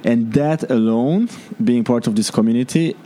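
A man's voice: medium pitch, moderate pace, dark tone. A young man from Hungary with a Hungarian accent, speaking English as a second language, answering interview questions in an earnest way and searching for words. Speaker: startup founder.

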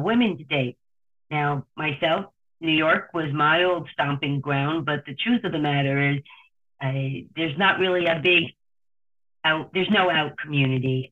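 A woman from New Jersey with a New Jersey accent, a tour company owner answering interview questions in a steady, storytelling way. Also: low-pitched. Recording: tinny phone line.

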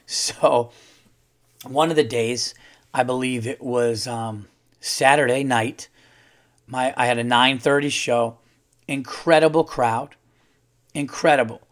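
Slightly hoarse man hosting a podcast. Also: mid-range voice, steady tone, takes it slowly, pauses often. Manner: rambling, chatty, many fillers and restarts. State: slightly hoarse, losing his voice. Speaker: podcast host and comedian. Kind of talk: casual solo podcast monologue.

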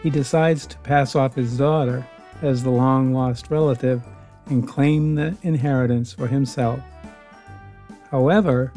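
A male host of an old-time radio program delivers a scripted show introduction. His tone is mellow and relaxed, at an ordinary pitch, with soft guitar music playing behind him. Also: a little slow.

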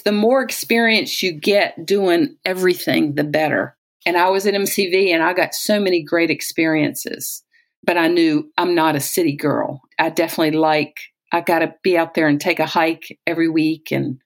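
An American woman in her sixties with a slightly low voice tells stories from her own life. She talks in a conversational, anecdotal way, slipping into the words of people she has known. It sounds like a personal interview with someone raised in Southwest Virginia. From Southwest Virginia, United States. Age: 60s. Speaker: interviewee, retired-age physician and poet.

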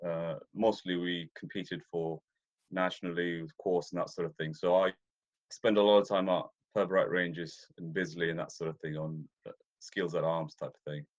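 A man from Ethiopia, a deer stalker as interview guest, talking casually with many fillers and restarts. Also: low voice. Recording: dull lo-fi audio.

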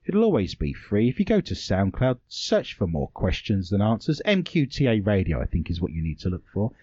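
British man, talk radio host, lively pitch, dry slightly dull studio sound, playful rambler. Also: brisk, husky, dry recording. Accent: British English. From Britain. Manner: playful, rambling, joking asides. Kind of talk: bantering talk radio hosting.

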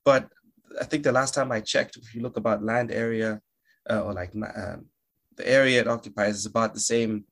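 A man's voice: lowish voice, steady tone, a little fast, fairly bright tone. A young man from Malawi, an actor, chatting as a guest in a casual interview, joking, laughing often and restarting his sentences.

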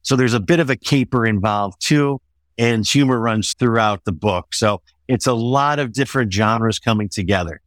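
A middle-aged or older American man answering interview questions as an author, in a measured, explanatory way. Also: on the low side, a bit bright, no room sound, clean studio sound.

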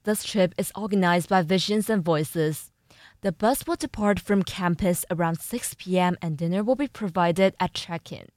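A young woman anchoring a student news program, reading a script in an even announcing manner. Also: fairly low pitch, normal speed, dry recording, clean studio sound. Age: young adult.

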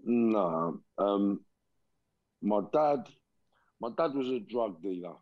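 A man, a guest telling his life story slowly and reflectively with frequent pauses, over lo-fi remote call audio. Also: lowish voice, expressive, no room sound. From England. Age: 40s. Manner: slow, reflective, many pauses and ums.

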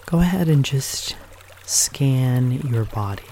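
A man leading a guided meditation in a gentle, unhurried manner, leaving pauses between short phrases. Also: mid-range voice, expressive, a little hiss or hum.

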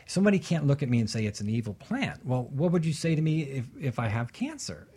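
Husky-voiced man, passionate advocate. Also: mid-range voice, sing-song, quick pace, mellow. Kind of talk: casual radio talk show discussion.

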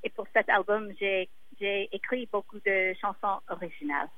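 A woman singer whose first language is English, speaking French haltingly and often pausing to search for words. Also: medium pitch, steady tone, narrow, tinny audio, slight background noise. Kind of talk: interview in a second language.

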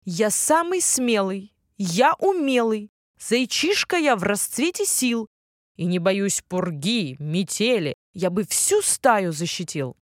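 A woman voicing a boastful young male hare with a loud, sing-song bragging manner in a children's tale. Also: mid-range voice, animated, slow pace, no echo, clean studio sound. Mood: proud.

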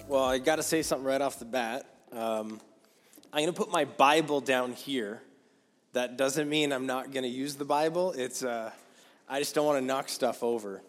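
A man in his thirties preaching a sermon in a casual, chatty way, his voice going hoarse. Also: mid-range voice, normal speed, soft background music. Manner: casual, conversational, with many fillers. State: losing his voice. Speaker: guest preacher. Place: church sanctuary. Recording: long hall reverb.

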